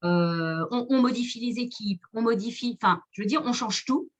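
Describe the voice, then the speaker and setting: A woman's voice: on the high side, normal speed. Woman explaining, hesitant and meandering. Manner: hesitant, meandering delivery with many repeated words. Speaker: marketing consultant and trainer speaking in a webinar. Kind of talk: casual, explanatory webinar talk.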